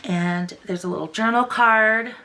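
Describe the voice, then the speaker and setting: A young woman hosting a craft channel, chatty and gushing, her voice still a little rough after a cold. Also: medium pitch, a little slow, bright, forceful tone. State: recovering from a cold, voice slightly rough. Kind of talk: casual tutorial chat.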